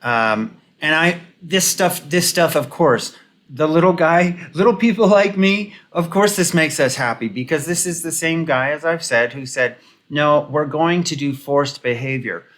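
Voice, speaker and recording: A man hosting an off-the-cuff political commentary video, giving opinions in a halting, rambling, casual way. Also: on the high side, fairly bright tone.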